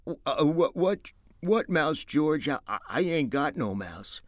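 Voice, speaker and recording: A man's voice: fairly high pitch, very animated, takes it slowly, dry recording, clean studio sound, pitched above the performer's own voice. A man voicing a big, simple-minded, childlike man who stammers and pleads. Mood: evasive.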